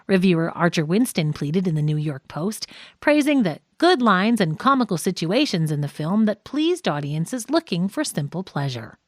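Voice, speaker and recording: Woman narrating nonfiction, lively intonation. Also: lowish voice, normal speed, no room sound, studio quality. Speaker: audiobook narrator. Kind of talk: scripted nonfiction audiobook narration.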